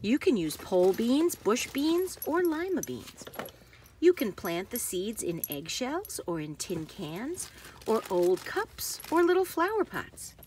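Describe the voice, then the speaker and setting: A woman reading a children's book aloud with careful, expressive phrasing for young listeners. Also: a little high, slow, slight background noise.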